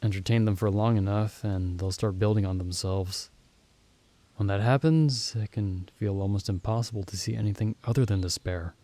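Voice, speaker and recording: A man playing a caring, reassuring young male friend in an ASMR comfort roleplay, speaking gently with hesitant, soothing pauses. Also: lowish voice, fairly even intonation, natural voice. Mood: soothing, earnest. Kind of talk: ASMR comfort roleplay, intimate acted monologue.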